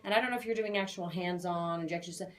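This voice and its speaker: A woman's voice: fairly low pitch, fast, soft background music. A woman giving a conversational spiritual reading as an aura reader, talking in a chatty, emphatic, assured way.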